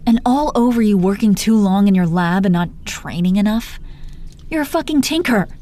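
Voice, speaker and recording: A woman playing a menacing yet tender, possessive supervillain in an intimate audio roleplay, speaking in a sultry, deliberate way with pet names for the listener. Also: medium pitch, lively intonation, dark tone, lightly acted. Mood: protective anger.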